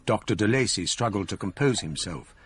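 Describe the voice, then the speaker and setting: An actor giving measured, literary first-person narration as a composed gentleman in a radio drama. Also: on the low side, lively intonation, slight background noise, close to an everyday voice. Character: composed gentleman male narrator recalling events.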